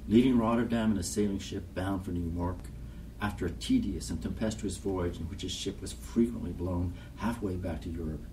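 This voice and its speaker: A man giving a measured, scripted reading of documentary poetry. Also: low-pitched, mellow. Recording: distant mic, noisy background.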